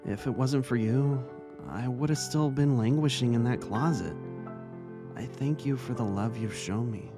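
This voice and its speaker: A man's voice: medium pitch, unhurried, over music, natural voice. A man playing an earnest, kindly vice-principal and devoted brother in an audio drama, with a sincere delivery. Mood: heartfelt. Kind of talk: audio drama reading of fan fiction.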